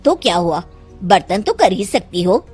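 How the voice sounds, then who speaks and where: A patient middle-aged mother-in-law in a cartoon speaks firmly and steadily in a lower voice, as a woman puts her on. Faint music runs under her words. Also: big pitch swings, normal speed, darkish tone, husky.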